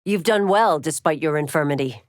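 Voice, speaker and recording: A woman's voice: sits low, normal speed, no room sound, studio quality, lightly acted. A woman playing a stern, proud veteran constable in a video game, speaking in formal, clipped, authoritative declarations. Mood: measured, earnest. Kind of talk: video game fighter voice lines, dramatic acting.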